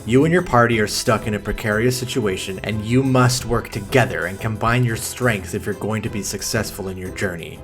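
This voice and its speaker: Wry young man mocking a film. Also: mid-range voice. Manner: wry, mocking asides with swearing. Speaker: film reviewer. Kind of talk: sarcastic movie review commentary.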